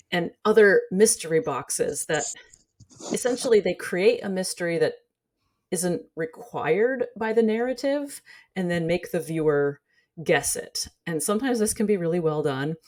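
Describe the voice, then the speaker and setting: Low-pitched woman giving thoughtful analysis. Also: a little mellow. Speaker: guest scholar and commentator. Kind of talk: conversational analytical commentary.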